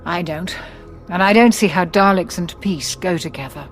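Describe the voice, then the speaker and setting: An actress playing a sober, bitter companion from an oppressed people in an audio drama, plain and earnest. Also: female voice, fairly low pitch, normal speed, soft background music, lightly acted.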